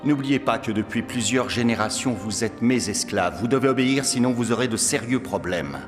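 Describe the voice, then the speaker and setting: A man playing a haughty, scornful, stubborn Pharaoh of Egypt with imperious delivery in an animated Bible story. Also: mid-range voice, moderate pace, lightly acted. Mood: irritated, imperious. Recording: reverberant hall, music behind.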